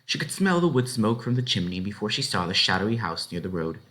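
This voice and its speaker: A man narrates a storybook aloud in a fairly low voice. His delivery is expressive, with very animated swings in pitch. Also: quick pace.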